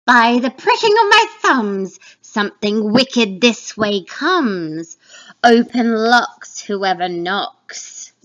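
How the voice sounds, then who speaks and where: Woman acting a slow, high-pitched chanting witch. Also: big pitch swings, a bit bright, highs cut off, heavily acted. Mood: eerie, incanting. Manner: slow, drawn-out, emphatic incantation. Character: menacing weird witch chanting spells over a cauldron. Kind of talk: dramatic Shakespeare reading.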